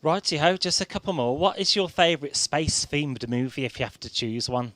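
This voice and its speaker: A man with a British accent hosting a casual radio interview, halting and often repeating his words. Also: on the high side, expressive, fairly bright tone, no room sound. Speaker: radio show host.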